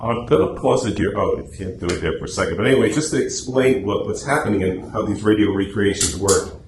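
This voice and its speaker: A man's voice: lowish voice, fast. A man presenting in an informal, rambling, conversational way, explaining things to a club meeting. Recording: echoey room, faint noise.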